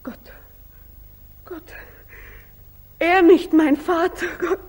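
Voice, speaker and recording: An actress playing a distraught young adopted daughter, impassioned and pleading, in a 1940s German radio play. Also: female voice, extremely high pitch, steady tone, darkish tone, full character voice. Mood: anguished. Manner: impassioned, pleading, slow theatrical delivery. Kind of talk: 1940s German radio stage acting, declamatory. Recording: old 1940s radio drama recording.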